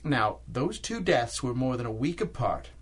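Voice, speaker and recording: An actor playing a nervous, blustering middle-aged husband in a 1930s-style radio drama, with an exasperated, expressive manner. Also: male voice, medium pitch, normal speed, breathy edge. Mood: exasperated reassurance. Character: nervous, blustering husband dismissing talk of ghosts.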